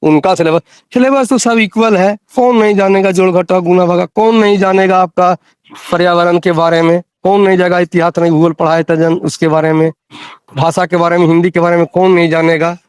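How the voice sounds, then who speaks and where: A man speaks in a fairly high voice. He explains things insistently, repeating phrases for emphasis. The recorded call sounds dry, with little echo. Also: steady tone, a little fast, clear, resonant tone. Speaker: interviewee explaining teacher rules. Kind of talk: explanatory phone discussion.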